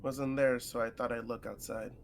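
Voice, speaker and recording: A man's voice: mid-range voice, level tone, normal speed, close to an everyday voice. Man reading a blunt, needling guy, music behind. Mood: guarded. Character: blunt, judgmental young man confronting a friend. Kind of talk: visual novel character read aloud.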